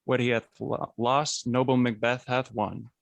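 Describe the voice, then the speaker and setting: A man's voice: medium pitch, little pitch movement, unhurried, vocal fry, dry recording. A man playing a gracious, commanding medieval king in a formal, declamatory Shakespearean radio play. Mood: regal.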